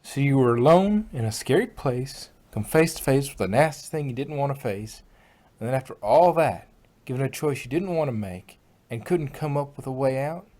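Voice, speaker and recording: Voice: mid-range voice, lively intonation, normal speed, a little mellow, natural voice. A performer voicing a friendly, plainspoken female country farm pony with a folksy, reassuring way of talking. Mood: sympathetic.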